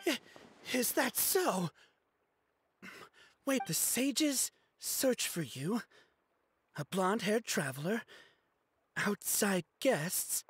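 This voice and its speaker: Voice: mid-range voice, husky. An actor playing a proud, easily flustered young male scholar in a video game, hesitant and polite but prickly. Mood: piecing it together.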